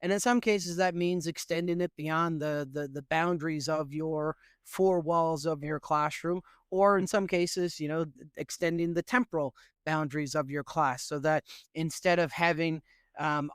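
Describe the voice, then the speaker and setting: A middle-aged man from Newfoundland, Canada, answering interview questions as an education professor in an earnest, animated way with frequent fillers. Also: fairly high pitch, moderate pace, clear, resonant tone, no echo, studio quality.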